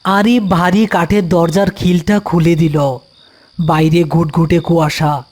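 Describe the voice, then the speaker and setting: A man's voice: on the high side, steady tone, a little slow, a little mellow. A man narrating a scripted horror story.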